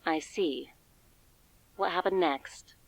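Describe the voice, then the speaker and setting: A composed official with a notably low voice. She questions in a clinical, measured way, formal and probing, like an audio drama interrogation. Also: creaky, full character voice, poor audio. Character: composed, formal female interviewer at a secret agency.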